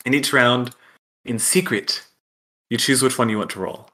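Bright-voiced game master. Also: male voice, medium pitch, normal speed. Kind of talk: narrating and explaining a tabletop roleplaying game.

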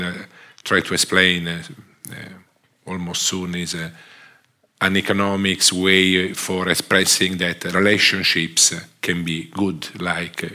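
A middle-aged man from Italy with a strong Italian accent, giving an academic lecture on a theatre stage, halting often with fillers and restarts. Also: low voice, bright, forceful tone. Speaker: guest lecturer. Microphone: headset.

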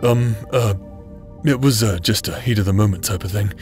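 A man playing a foul-mouthed, prickly injured bastard warrior in a roleplay audio drama, gruff and sarcastic. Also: on the low side, normal speed, soft background music, lightly acted. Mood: guarded.

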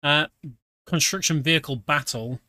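A man's voice: a little high, normal speed, background noise. A British man giving casual, chatty commentary while playing a game, explaining as he goes with many fillers. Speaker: game streamer. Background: game music.

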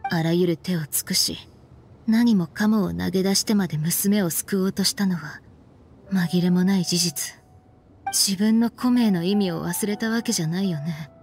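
An actress playing a stern, frank young woman in an anime game, speaking in a firm, reproachful, deliberate way. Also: fairly low pitch, a little mellow, faint music behind, lightly acted. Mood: stern, solemn. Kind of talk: anime game voice acting, dramatic dialogue.

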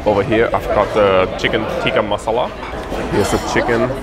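A man's voice: fairly low pitch, steady tone, brisk, loud music behind the voice. A young man casually reviewing buffet dishes as he eats, filmed close on a handheld camera in a big restaurant. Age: young adult. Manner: chatty, eats while reviewing each dish. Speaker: food vlogger. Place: large indoor buffet restaurant. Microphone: handheld camera close to face.

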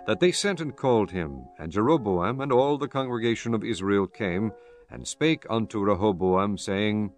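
A male narrator with a fairly low voice reads scripture as drama. His delivery is formal and solemn, and music plays softly behind him. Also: lively intonation, normal speed.

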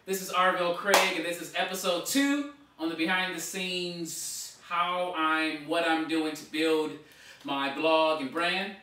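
Guy in his 30s vlogging at the dining table, bright higher voice, kinda far from the mic, lots of room echo. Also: unhurried, slight background noise. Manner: animated, gesturing with both hands. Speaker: blogger and author. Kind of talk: casual vlog talk to camera.

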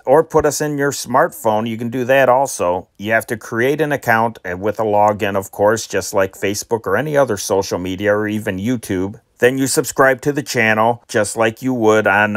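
A man speaks as the host of an old-time radio channel, in a middle-pitched voice. He announces in a casual, conversational way with some hesitation. The sound is dry and close. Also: no echo. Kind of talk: casual scripted announcement to listeners.

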